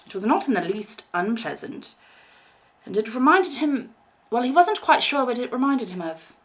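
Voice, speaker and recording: A young woman, an English teacher, reading a short story aloud in an expressive narrating style. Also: fairly high pitch, moderate pace, poor audio. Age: young adult.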